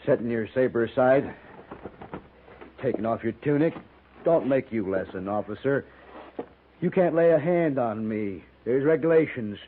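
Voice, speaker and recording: A man's voice: medium pitch, expressive, normal speed, long pauses, close to an everyday voice. An American man playing an insolent, cowardly army officer in 1950s radio drama, blustering with folksy grammar. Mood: defiant. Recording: noisy, narrow-band 1950s radio recording.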